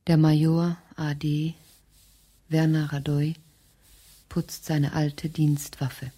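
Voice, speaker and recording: A woman narrating a radio drama in a terse, detached, husky delivery, as if reading out stage directions. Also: low-pitched, level tone, slow pace, dark tone, heavily acted. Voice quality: husky, breathy.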